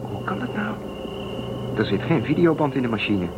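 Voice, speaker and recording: A man playing a calm, protective young male space traveler who reasons things through in a radio drama. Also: medium pitch, moderate pace, muffled recording, lightly acted. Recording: noisy old tape.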